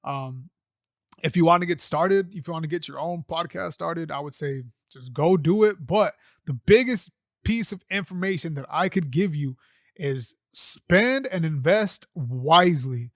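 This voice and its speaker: Loud, profane young man podcasting. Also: a little high, animated, a little slow, no echo, studio quality. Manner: loose, profane, rambling with fillers. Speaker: podcast host. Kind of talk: casual solo podcast talk. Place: home room setup. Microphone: condenser microphone.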